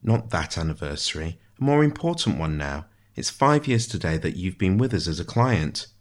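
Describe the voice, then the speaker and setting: A bantering male jailer in a storybook tale, performed by a male narrator. He has a low voice and a good-humoured, sing-song way of talking. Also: big pitch swings, normal speed.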